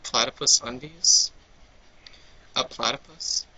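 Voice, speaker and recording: A man's voice: medium pitch, fairly even intonation, slow pace, vocal fry, sharp tone, poor audio. A man casually co-hosting a fanfiction reading, reading bits aloud with sarcastic, exasperated, sweary commentary. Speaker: co-host of a dramatic fanfiction reading series.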